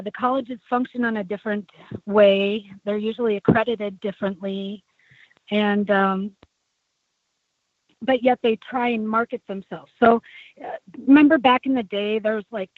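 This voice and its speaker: A woman guest telling her story in a casual interview, with a low voice left by a tracheotomy, talking in a chatty way full of fillers and restarts. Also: no room sound. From United States. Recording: tinny phone line, dry.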